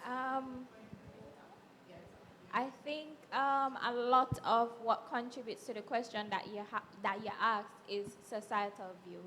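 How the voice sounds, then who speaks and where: A young woman panelist making her case in a measured, persuasive way. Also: fairly high pitch, steady tone, sharp tone. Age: young adult. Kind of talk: live panel discussion. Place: event hall, live audience. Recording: hall reverb with faint noise.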